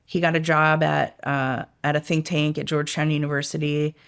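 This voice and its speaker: A woman's voice: low voice, little pitch movement, moderate pace, clean tone. A middle-aged woman, a former intelligence analyst, speaking casually in an interview, with many fillers and restarts. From United States, raised in Washington DC area. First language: Italian.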